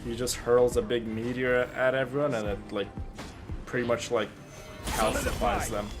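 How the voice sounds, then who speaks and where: A man giving casual gameplay commentary as a streamer, explaining things informally and haltingly. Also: mid-range voice, steady tone, music in the background. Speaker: game streamer.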